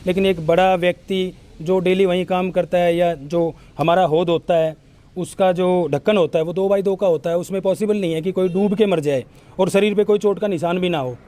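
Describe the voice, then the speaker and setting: A man's voice: high-pitched, dark tone. A man from Haryana speaks to a news reporter. He explains things earnestly and insistently. Light outdoor street noise sits behind him. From Haryana, India. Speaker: villager interviewed by local news. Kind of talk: on-camera news interview testimony.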